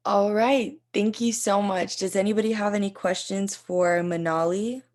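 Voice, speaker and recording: A woman moderating a video-call meeting, brisk and polite as she moves things along. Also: mid-range voice, fairly even intonation, darkish tone.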